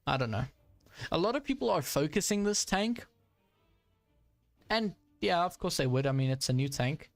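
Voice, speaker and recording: A man's voice: a little high, expressive, normal speed, gravelly, pauses often, dry recording, soft background music. A young man giving casual live commentary while playing a tank game, chatty with frequent fillers and frustrated asides. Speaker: gaming streamer.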